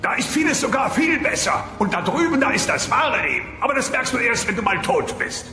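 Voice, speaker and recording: Doting dinosaur ghost, very high voice, theatrical, long echoing reverb, sitcom audio play. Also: male voice, sing-song, fairly quick, sharp tone, shouting, full character voice. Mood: tender, admonishing. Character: affectionate ghostly dinosaur husband from the afterlife. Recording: echoey long reverb, music behind.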